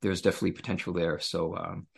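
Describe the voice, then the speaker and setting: Low-voiced male interviewer, relaxed and joking. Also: fast, gravelly, slightly rough. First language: English. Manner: relaxed, jokey, hesitant with fillers. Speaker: interview host. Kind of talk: interview moderating.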